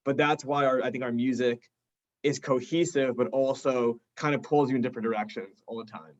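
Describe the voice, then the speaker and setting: A man's voice: mid-range voice, steady tone, brisk, lo-fi sound. A man in a band chatting casually in an interview, joking and rambling, with lots of "like". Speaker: band drummer being interviewed.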